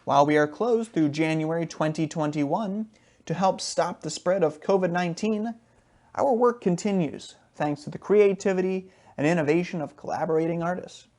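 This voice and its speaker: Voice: medium pitch, moderate pace, darkish tone. An announcer reading a scripted introduction and credits in a formal presenting manner.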